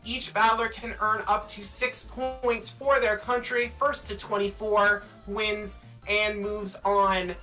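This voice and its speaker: A woman's voice: medium pitch, little pitch movement, slow pace, few pauses, pushed and bright. A woman from Canada hosting a live esports stream, with joking and boastful banter. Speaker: host and caster. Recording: distant mic with faint music. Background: music.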